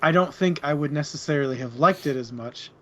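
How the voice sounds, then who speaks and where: A man's voice: a little high, fairly quick, pushed and bright, muffled recording. A man co-hosting a book club show, giving his opinions in casual, unscripted chat.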